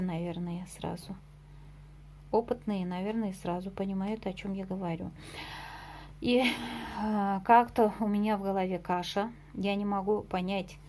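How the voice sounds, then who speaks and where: A woman's voice: medium pitch, a little mellow, a little hiss or hum. Chatty woman vlogging her embroidery. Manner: chatty, hesitant, self-deprecating, with fillers and small laughs. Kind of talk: casual show-and-tell vlog.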